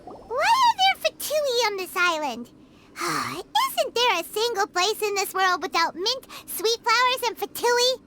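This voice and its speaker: A woman voicing a tiny, cheeky, excitable childlike fairy companion in a video game. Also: very high voice, unhurried, very noisy, heavily acted. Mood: puzzled, indignant.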